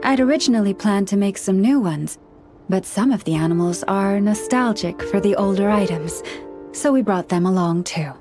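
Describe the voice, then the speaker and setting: A woman voicing a gentle, earnest young woman who counsels animals in a video game, speaking in a measured, formal way. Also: medium pitch, lightly acted. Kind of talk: video game character dialogue. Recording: studio voice over background music.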